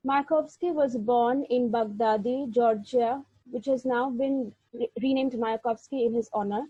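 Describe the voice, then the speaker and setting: A woman giving an illustrated lecture, reading verse aloud and narrating in a measured, deliberate way with occasional slips. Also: fairly high pitch, warm tone, breathy edge, no room sound. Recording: dull, lo-fi sound.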